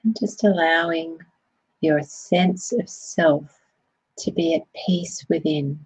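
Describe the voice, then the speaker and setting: A woman leading a guided body scan meditation, speaking in a soothing, gentle way with unhurried phrasing. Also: sits low, breathy edge, no room sound, poor audio.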